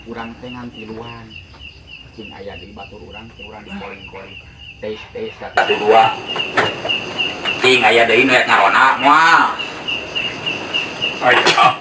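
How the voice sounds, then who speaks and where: A man with a Sundanese accent playing a bossy village night-watchman in a comedy sketch, given to drawn-out, speech-like slogans. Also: lowish voice, steady tone. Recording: echoey, distant mic, loud background noise.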